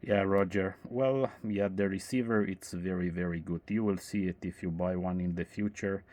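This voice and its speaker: A man with a low, deep voice talks casually as a radio hobbyist. His delivery is measured and a little hesitant, with very little rise or fall in tone. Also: steady tone, a little mellow, a little hiss or hum. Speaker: amateur radio operator. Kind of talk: casual radio contact chat.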